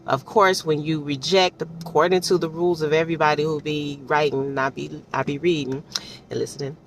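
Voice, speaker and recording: A low-pitched woman talks off the cuff as a casual book reviewer, with some noise behind her. She is animated and colloquial, repeating phrases for emphasis. She keeps checking in with whoever is listening. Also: a little fast. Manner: chatty, often checks in with the listener. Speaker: audiobook reviewer giving personal commentary. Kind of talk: casual, unscripted book commentary.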